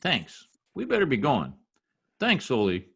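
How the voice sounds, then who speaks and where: Radio comedy lead, male actor: blustering middle-aged American husband, folksy and slangy, very lively intonation. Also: medium pitch, measured pace, vocal fry, husky, heavily acted. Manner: slangy, pompous, mangles words. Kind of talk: old-time radio comedy acting.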